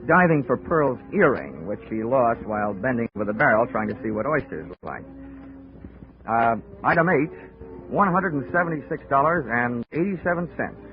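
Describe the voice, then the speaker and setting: An actor playing a wry, hardboiled investigator with deadpan wisecracks in a 1940s radio drama. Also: male voice, medium pitch, moderate pace, phone-quality sound, soft background music, close to an everyday voice. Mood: dry, wry. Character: wry, hardboiled male insurance investigator narrating his case. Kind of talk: 1940s radio acting, detective narration. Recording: band-limited old radio recording.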